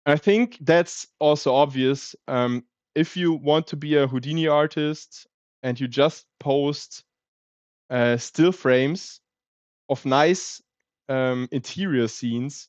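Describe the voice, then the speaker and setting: A man's voice: medium pitch, slow, darkish tone, dry recording, highs cut off. A man with a German accent chatting casually on a livestream, thinking aloud with plenty of fillers. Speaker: streamer.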